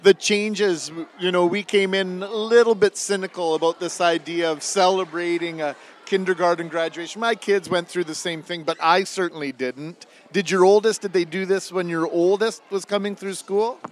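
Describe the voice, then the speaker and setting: A man's voice: high voice, steady tone, normal speed, hardly any pauses. A middle-aged man doing a casual, inquisitive radio interview out in the field. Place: on location at a school event. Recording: field recording with faint ambient noise.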